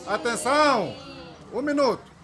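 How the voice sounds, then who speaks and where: A man's voice: very high-pitched, expressive, a little slow, bright, forceful tone, some chatter behind, heavily acted. A male actor playing a keen, patient trainee teacher in a radio drama, instructive and full of checking questions. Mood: firm, correcting. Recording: faint background noise.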